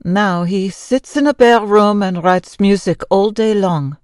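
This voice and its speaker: A woman acts out a sorrowful wife of a mad composer in an old-time radio drama. She is a Frenchwoman who speaks very slowly in a mid-range voice, with formal, halting phrasing and a mournful weight. The sound is very dry. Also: mid-range voice, a little mellow, dry recording, close to an everyday voice.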